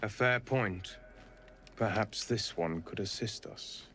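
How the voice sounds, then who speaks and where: An actor playing a solemn otherworldly spirit of justice in a video game, speaking gravely in archaic phrases. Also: male voice, fairly low pitch, takes it slowly, a bit bright, a little hiss or hum. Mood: grave, measured. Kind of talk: video game character dialogue.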